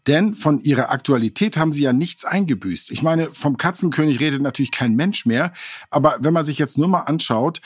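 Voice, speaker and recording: Husky-voiced man hosting true crime. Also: medium pitch, no room sound, clean studio sound. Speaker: podcast host. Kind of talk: scripted true-crime podcast talk.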